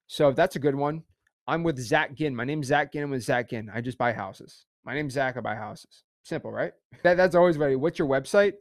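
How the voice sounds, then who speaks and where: A young man teaching a casual livestream course with energetic, repetitive pep talk. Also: on the high side, no room sound, studio quality.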